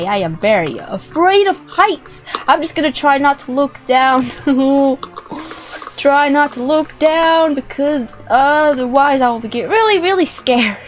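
A young woman giving playful, excitable, dramatic commentary as she plays a video game. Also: high voice. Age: young adult. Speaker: gaming video host. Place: indoor room with plush toys. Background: music.

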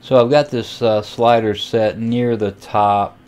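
A man giving an informal, step-by-step hobbyist walkthrough of software and equipment. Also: fairly low pitch, steady tone, takes it slowly, clean tone. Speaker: amateur radio hobbyist giving a tutorial. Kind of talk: casual software and radio walkthrough.

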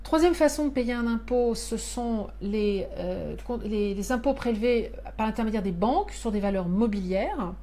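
A woman's voice: fairly high pitch, a little mellow. Earnest woman explaining from her office. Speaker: member of parliament addressing constituents abroad. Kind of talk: live-streamed monthly address. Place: small office with shelves of binders. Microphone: webcam or phone at desk.

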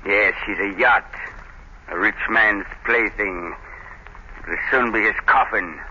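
Forceful, sharp-voiced radio villain. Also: male voice, lowish voice, takes it slowly, exaggerated voice. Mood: gloating, commanding. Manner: menacing, commanding, gloating. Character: ruthless, greedy villainous inventor guarding a secret island. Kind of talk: 1930s radio drama acting. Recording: dull, noisy 1930s radio recording.

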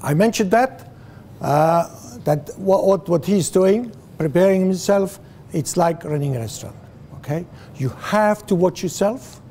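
Swiss guy in his 60s giving a class talk, slow, lots of uhs, picked up by a far camera. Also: sits high, slight background noise. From Switzerland. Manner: animated, gesturing, many fillers and restarts, asks the audience questions. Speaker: guest lecturer. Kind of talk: guest lecture to students. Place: classroom with a screen, indoors. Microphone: far camera, no mic seen.

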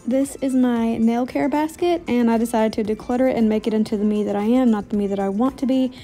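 A woman's voice: fairly high pitch, fast talker, warm tone, soft background music. A woman in her thirties with an American accent, chatting casually and informally as a self-deprecating homemaking vlogger.

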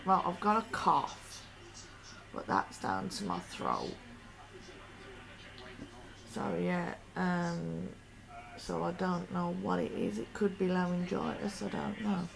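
A young woman vlogging at home through her laptop webcam, talking slowly and quietly with a hoarse, croaky voice from a sore throat. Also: on the low side, expressive, a crowd in the background, low-quality recording. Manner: slow, rambling and quiet. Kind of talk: casual home vlog. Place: living room at home.

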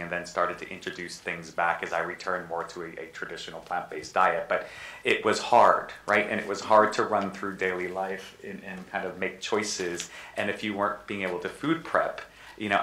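A man's voice: sits low, bright, forceful tone. A man speaking on a panel about food, earnest and rambling, often restarting his sentences. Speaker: panelist, natural foods school head. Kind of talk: panel discussion. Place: lecture room with audience. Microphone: table mic. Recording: echoey room, faint noise.

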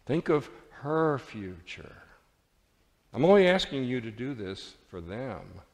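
A man's voice: medium pitch, very animated, takes it slowly. Man playing a patronizing boss in a hall. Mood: pressuring. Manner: patronizing, persuasive. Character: smoothly pressuring male boss, persuasive and patronizing. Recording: reverberant church hall.

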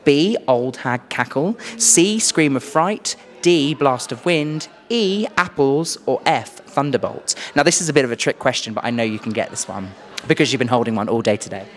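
A young adult man with a British accent hosting a playful quiz interview in an animated, exclaiming way into a handheld microphone. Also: mid-range voice, normal speed, hardly any pauses. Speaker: host, quizmaster. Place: small furnished indoor room.

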